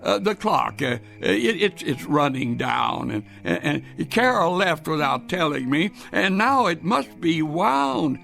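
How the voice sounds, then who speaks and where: An actor playing an anxious, stubborn grandfather in his seventies in a radio drama, with a halting, stammering delivery. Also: fairly high pitch, big pitch swings, gravelly, a bit bright, soft background music, exaggerated voice. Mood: flustered, anxious. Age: 70s. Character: frail, anxious, stubborn 76-year-old grandfather. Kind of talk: old-time radio drama acting.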